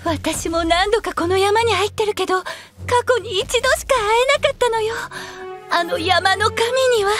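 Very high, playful anime young woman. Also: normal speed, a bit bright, soft background music. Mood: intrigued. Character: bubbly gluttonous young woman, teasing and eccentric. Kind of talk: Japanese anime audio drama acting.

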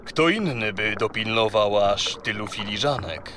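A proud, gracious king of the sea in a children's audio play. His delivery is slow, theatrical and courtly, with a forceful bright tone. Water noise sits faintly behind him. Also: lowish voice, animated. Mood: courtly, curious.

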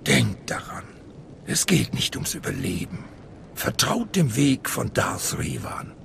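A man playing an ominous older cult master in a video game, with a hushed, menacing intensity. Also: mid-range voice, huge pitch swings, takes it slowly, vocal fry, a little hiss or hum, full character voice. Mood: grave, commanding. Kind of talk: dramatic video game voice acting in German.

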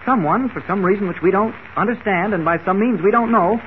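A man performs an earnest newspaper reporter from a 1940s radio serial. He talks quickly and insistently in a high-pitched male voice. The old recording is muffled. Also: a little hiss or hum, lightly acted. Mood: insistent, grave. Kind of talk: 1940s radio drama acting.

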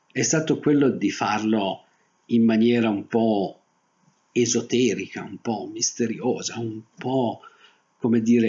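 An older man preaching a sermon as a pastor, phrasing deliberately with pauses. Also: fairly low pitch. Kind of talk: Protestant sermon. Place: small indoor room, seated at a desk. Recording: dull, muffled webcam audio.

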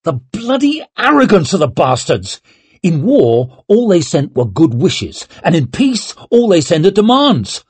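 A man's voice: mid-range voice, wildly swooping pitch, slow, breathy edge, no room sound, exaggerated voice. A man voicing a proud, hot-headed young lord in an audiobook, snappish and blustering. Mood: outraged.

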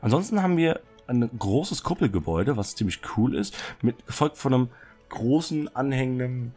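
A young man with a cold, clearing his throat now and then, giving rambling, excited commentary while touring a game build. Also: medium pitch, normal speed. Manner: excited, fidgety, rambling with many fillers. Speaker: Minecraft server builder showing a city. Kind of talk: casual gameplay commentary. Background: soft background music.

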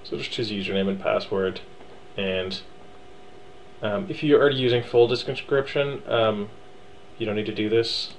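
Relaxed man talking through a software tutorial. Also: lowish voice, moderate pace, a little hiss or hum. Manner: casual, thinking aloud with many ums; relaxed and offhand, with wry asides. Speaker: tutorial host. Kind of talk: screen-recorded software tutorial.

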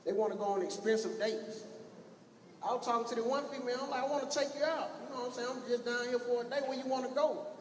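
Young male stand-up, high-voiced and loud, crude crowd work on a handheld mic, echoey theater with faint audience noise. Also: steady tone, fast. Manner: brash, profane, shouting at the crowd. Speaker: stand-up comedian. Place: large theater stage with an audience.

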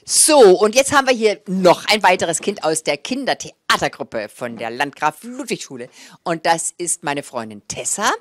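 A woman's voice: on the low side, big pitch swings. A woman in her sixties telling stories live to a small audience, with a dramatic, savouring delivery, speaking into a handheld microphone. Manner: dramatic, savouring delivery with repetitions and drawn-out words. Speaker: fairy-tale storyteller. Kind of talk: live oral storytelling for an audience. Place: small indoor room with curtained stage and small audience. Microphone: handheld microphone held close.

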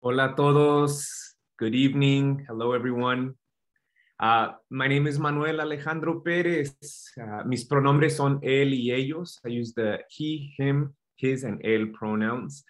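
A man's voice: mid-range voice, a little slow. A man, a college vice president of student services, giving upbeat welcome remarks over a video call.

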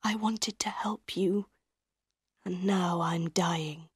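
A woman voicing a weak, dying young man in an audiobook, tender and regretful, in a slow, exhausted, faint whisper. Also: lowish voice, steady tone, slightly rough, no room sound, clean studio sound, heavily acted.

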